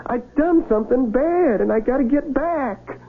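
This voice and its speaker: An actor playing an anxious, defiant young runaway Civil War soldier with folksy country speech in a radio drama. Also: male voice, very high voice, expressive, normal speed, narrow, tinny audio, exaggerated voice. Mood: agitated, desperate. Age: young adult. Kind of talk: 1970s radio drama acting. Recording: band-limited vintage radio recording.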